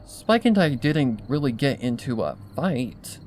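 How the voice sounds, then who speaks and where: A man's voice: mid-range voice, sing-song, measured pace, darkish tone, a little hiss or hum. A man voicing an anxious, guarded female pony in a fan fiction reading, curt and evasive.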